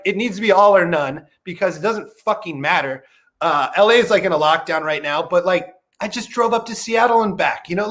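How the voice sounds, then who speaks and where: Thirties comedian guest, high-ish voice, easygoing and wry about himself. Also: male voice, a bit bright. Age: 30s. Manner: laid-back, self-deprecating, jumps into a high voice when quoting himself. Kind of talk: casual chat.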